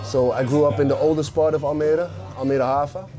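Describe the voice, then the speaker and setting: A middle-aged man from the Netherlands with a Dutch accent, telling stories in a casual interview, relaxed with many fillers. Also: a little high, brisk. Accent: Dutch, Amsterdam. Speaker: guest rapper. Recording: music playing behind.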